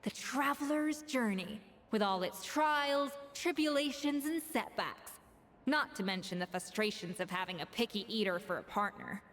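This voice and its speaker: A woman voicing an exacting, haughty young master chef in a video game, crisp and cutting. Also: mid-range voice, expressive, moderate pace, long reverb tail, noisy background, lightly acted. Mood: measured approval.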